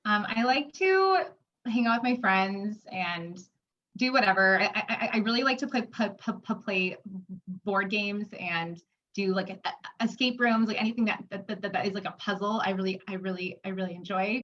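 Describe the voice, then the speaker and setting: A woman's voice: mid-range voice, expressive, moderate pace, fairly bright tone, muffled recording. A woman in her twenties who stutters, with frequent sound repetitions, blocks and fillers, sharing her personal experience. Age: 20s. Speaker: interviewee. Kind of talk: personal testimony.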